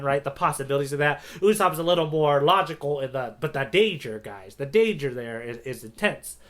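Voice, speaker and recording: Bright, higher-voiced man chatting on a podcast. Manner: animated retelling, acts out characters' lines loosely. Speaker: podcast host. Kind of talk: casual manga recap chat.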